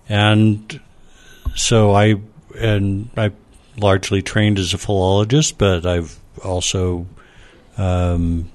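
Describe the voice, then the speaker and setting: A man who is a scholar and panel guest, explaining in a measured way with frequent fillers. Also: on the low side. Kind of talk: panel discussion.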